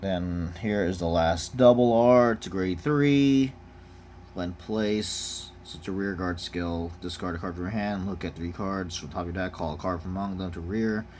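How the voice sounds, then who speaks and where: Noticeable background noise surrounds a low-voiced man making a casual unboxing video. He speaks in a laid-back, off-the-cuff way, like a hobbyist looking over cards and reading them out. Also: moderate pace, a little mellow. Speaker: card game unboxing vlogger. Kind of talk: casual off-the-cuff card review.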